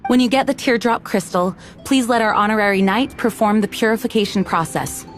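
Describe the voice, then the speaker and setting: A woman's voice: medium pitch, a little fast, soft background music, lightly acted. A woman voicing a dutiful, composed young knight commander in a video game, formal and earnest. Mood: resolute.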